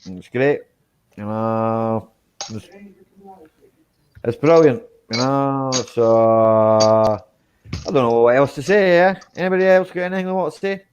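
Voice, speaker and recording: A man's voice: medium pitch, fairly even intonation, a little slow, long pauses, dull audio, slight background noise. A man hosting his own live stream, chatting casually with friends in a rambling, easygoing way. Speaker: streamer hosting a group chat. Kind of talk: casual online chat.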